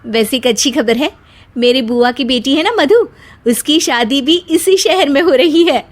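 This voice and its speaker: A woman's voice: sits high, normal speed, lightly acted. A woman voicing an envious, demanding young wife in a family story, insistent and exclamatory. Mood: friendly. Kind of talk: dramatised Hindi family storytelling.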